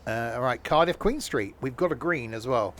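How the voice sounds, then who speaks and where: A man's voice: medium pitch, lively intonation, moderate pace, fairly bright tone, slight background noise. A man streaming a train game, chatting casually and joking with live viewers, rambling with frequent self-corrections. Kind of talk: casual gameplay commentary.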